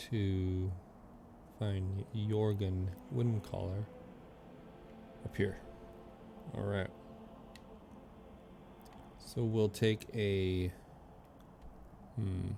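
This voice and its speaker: A man's voice: fairly low pitch, flat delivery, drawn-out words, vocal fry, long pauses, mellow, a little hiss or hum. A man streaming a video game, commenting casually and reading game text aloud. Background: faint game music.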